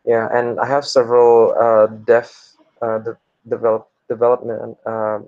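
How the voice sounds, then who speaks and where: A young man, a student introducing himself informally and pausing often mid-phrase. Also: medium pitch, flat delivery, no room sound, narrow, tinny audio. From Indonesia. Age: young adult. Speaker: computer science student presenting. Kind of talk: informal self-introduction in a class presentation.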